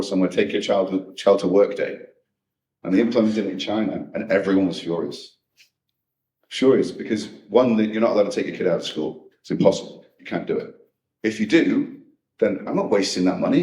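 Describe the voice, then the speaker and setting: A man with a very low voice talks frankly and conversationally, restarting his sentences as he answers a live audience. He is picked up from a distance in a roomy, echoing hall. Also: darkish tone. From United Kingdom. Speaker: speaker at a coaching event. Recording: echoey hall, distant mic.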